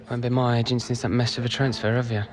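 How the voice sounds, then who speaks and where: An actor playing a cocky, blunt young English footballer in a naturalistic game cutscene. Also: male voice, medium pitch, level tone, quick pace, crowd noise, natural voice. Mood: blunt, bitter. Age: young adult.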